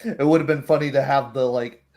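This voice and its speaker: A man's voice: mid-range voice, fairly even intonation, a little fast, darkish tone. A man speaking casually as the game master and host of a roleplaying stream, chatting about the game.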